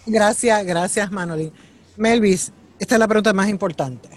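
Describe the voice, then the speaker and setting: A woman's voice: medium pitch, normal speed. A woman who is a news director co-hosting an interview, asking questions in a casual and playful, joking way.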